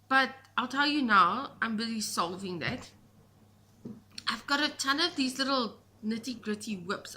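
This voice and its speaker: Chatty South African woman, bright voice. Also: high-pitched. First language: Afrikaans. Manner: chatty, rambling, self-deprecating. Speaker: knitting podcast host. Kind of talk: casual chat to camera. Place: home, indoors. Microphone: camera at a short distance.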